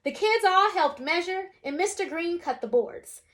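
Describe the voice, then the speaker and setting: Brief: young woman storyteller, very high and bright, lively reading for kids. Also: normal speed. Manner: expressive, shifts voice for quoted characters. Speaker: storyteller reading a picture book. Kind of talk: children's read-aloud.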